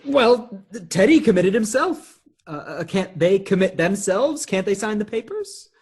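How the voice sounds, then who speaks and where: A man plays an anxious, placating nephew in a comedy for radio. The delivery stammers and hurries, jumping around in pitch, with a slightly rough, breathy edge. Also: big pitch swings, measured pace, darkish tone, full character voice. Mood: nervous, pleading. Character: flustered, anxious nephew desperately managing his mad family. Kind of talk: stage comedy acting for radio theatre.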